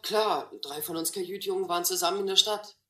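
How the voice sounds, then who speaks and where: A cheeky vagabond boy in a radio drama. He is quick-witted and bluffs freely, in a bright, forward voice with a roomy sound. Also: sits high, steady tone. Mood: bluffing. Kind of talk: German radio drama acting.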